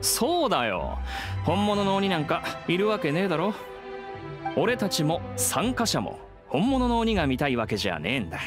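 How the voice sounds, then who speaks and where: A breezy young man who works as a haunted-house ghost in a video game. He talks rough and colloquial in a highish, animated voice, with clear music behind him. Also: normal speed. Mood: breezy, matter-of-fact. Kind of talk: scripted Japanese game voice acting.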